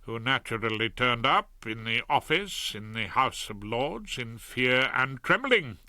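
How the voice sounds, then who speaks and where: A man's voice: medium pitch, very animated, a little slow, bright, forceful tone, no echo. Wry older man narrating an audiobook. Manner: wry, ironic storytelling.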